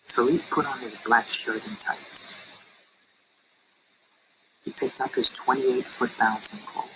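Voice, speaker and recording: Expressive woman reading a storybook aloud. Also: very deep voice, a little hiss or hum, low-quality recording. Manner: expressive, slows for dramatic phrases. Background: music.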